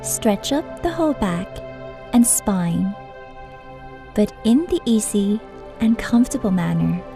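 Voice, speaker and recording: A woman narrates a scripted introduction in a calm, measured, instructive manner. She takes her time over every phrase, speaking slowly. New-age music plays clearly beneath her voice. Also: medium pitch.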